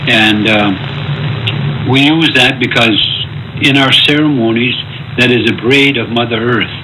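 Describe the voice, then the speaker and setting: A man's voice: medium pitch, a little slow, sharp tone, rough recording. A man from eastern Canada giving plain-spoken, informal oral history. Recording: clear background noise.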